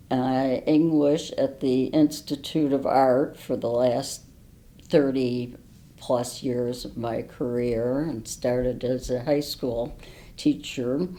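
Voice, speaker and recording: A woman in her eighties, a retired professor, speaking in a measured, deliberate way in an interview. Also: a bass voice, warm tone. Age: 80s. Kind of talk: unscripted personal interview.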